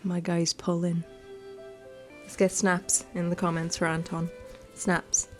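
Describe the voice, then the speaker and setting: Female gaming host, slightly low voice, chatty and playful, informal let's-play delivery and read-alouds, light music underneath. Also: completely flat delivery, slow. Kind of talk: casual let's-play commentary and reading game text aloud. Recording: music playing behind the voice. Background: background music.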